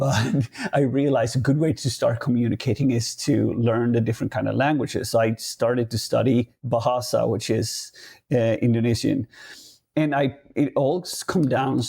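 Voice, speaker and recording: A man with a Swedish accent and a gravelly, dark, mellow voice, talking loosely in a relaxed podcast chat. He stops and restarts often, hunting for the right English word, and then carries on. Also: mid-range voice. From Sweden. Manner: halting, searching for words, many restarts. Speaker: podcast guest. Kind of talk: casual podcast conversation.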